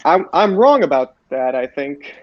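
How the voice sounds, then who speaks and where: Higher-voiced man recapping wrestling, dull audio. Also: sing-song, measured pace, no echo. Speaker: podcast host. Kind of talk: recapping wrestling shows for co-hosts.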